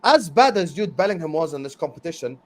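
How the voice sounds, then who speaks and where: A man hosting a football stream, arguing his points emphatically and repeating words as he gets excited. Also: a little high, fast. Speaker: host of a football transfer stream. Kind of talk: casual football debate on a livestream.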